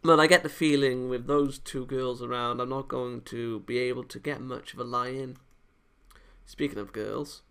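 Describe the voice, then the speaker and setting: A man's voice: medium pitch, sharp tone, clear, resonant tone. A man hosting a let's play, reading a visual novel aloud and breaking off into casual, joking asides. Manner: breaks off into joking, teasing remarks on the story. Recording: game music behind voice. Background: background music from the game.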